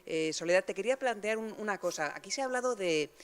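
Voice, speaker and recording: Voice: medium pitch, lively intonation, fast, clear, resonant tone. A moderator runs the question round after a science talk, with a voice in the middle range. The questions come in a friendly, conversational way. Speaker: session moderator.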